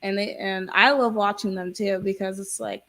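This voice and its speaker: A woman's voice: medium pitch, steady tone, normal speed, a bit bright. A woman in casual conversation, rambling with lots of fillers and restarts. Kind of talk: casual chat.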